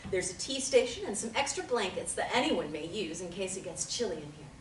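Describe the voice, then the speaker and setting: An actress reading the part of a calm, formal woman therapist in a table read, measured and polite. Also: medium pitch, lightly acted. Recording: roomy audio from far off, faint noise.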